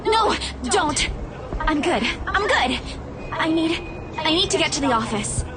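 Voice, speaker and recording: A woman's voice: very high-pitched, lively intonation, unhurried. An actress playing a frantic, stubborn young woman in a horror visual novel. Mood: flustered, insistent. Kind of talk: video game voice acting. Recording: game audio under loud music.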